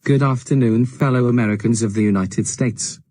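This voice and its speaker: A man's voice: mid-range voice, normal speed, dark tone, dry recording, lightly acted. A man playing a polite, reassuring government official giving a formal, careful, scripted public address.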